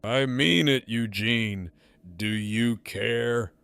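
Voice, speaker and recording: A man playing a smug, menacing gang leader in an audio drama, with a sardonic, profane, deliberate drawl. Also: mid-range voice, gravelly, a bit bright, clear, resonant tone, no echo, heavily acted. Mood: challenging.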